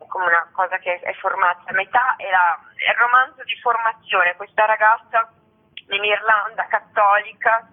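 A woman, an actress and author, talking spontaneously as an interview guest over the telephone, discursive and often rephrasing mid-sentence. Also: medium pitch. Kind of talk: spontaneous radio interview talk. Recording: tinny, narrow-band telephone line.

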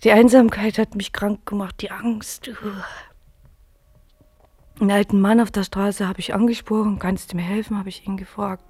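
An actress in a radio play playing an anxious, lonely woman who speaks haltingly and repeats herself. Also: medium pitch, flat delivery, darkish tone, slightly rough, close to an everyday voice. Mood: lonely, confessional. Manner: agitated, repeats words, halting. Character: anxious lonely woman living alone in a rundown flat. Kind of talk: German radio play acting.

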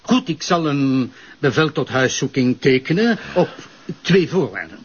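A man with a Flemish accent playing a gruff, sceptical, by-the-book public prosecutor in a crime drama, with a husky voice. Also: mid-range voice, sing-song, unhurried. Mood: stern. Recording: muffled lo-fi audio.

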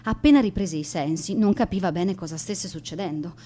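An actress playing a guilt-ridden, bullied teenage schoolgirl in a radio drama monologue, confessing in a halting, emotional manner. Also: fairly low pitch, dark tone, close to an everyday voice. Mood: tense, bitter confession.

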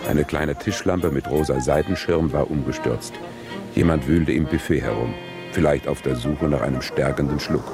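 Very deep, dry male witness-narrator, standard German, deadpan radio-play delivery over music. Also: normal speed. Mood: dry, grave.

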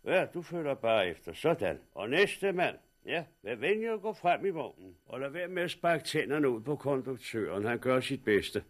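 A man's voice: mid-range voice, sing-song, hardly any pauses. An actor playing a jovial, wry blind man with a joking, chummy manner in a 1960s radio drama. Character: jovial, wry blind man loading people onto a lorry.

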